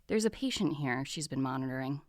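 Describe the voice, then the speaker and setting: A woman's voice: very deep voice, animated, fairly quick, no echo, studio quality. A woman playing a harried senior hospital doctor in an audio drama, matter-of-fact and cautious. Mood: hesitant. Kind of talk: dramatic podcast acting.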